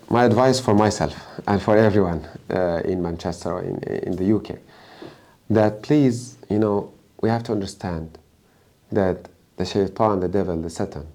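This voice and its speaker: A man from Egypt with an Egyptian accent, a native Arabic speaker, giving religious advice as a preacher in an emphatic, deliberate way. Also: on the low side, mellow. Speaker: Islamic preacher and guest.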